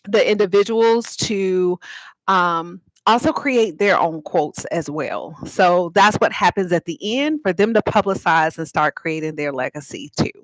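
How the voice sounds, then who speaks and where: A woman's voice: mid-range voice, no room sound, poor audio. A woman talking informally to livestream viewers as an author and host, explaining things and inviting them to respond. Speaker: author and host of a live read-aloud.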